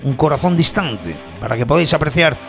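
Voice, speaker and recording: A man's voice: fairly high pitch, animated, fairly quick, creaky, a bit bright. A man with a Spanish accent from Spain hosting a music show, chatty, colloquial and jokey, bantering casually as he presents. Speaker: music radio show host. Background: guitar music.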